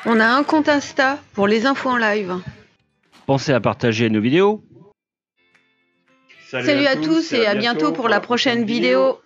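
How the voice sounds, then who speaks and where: A fairly high-voiced woman reads a brisk scripted outro asking viewers to follow and share. The recording sounds dull and lo-fi. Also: very animated, soft background music. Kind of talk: scripted outro call-to-action.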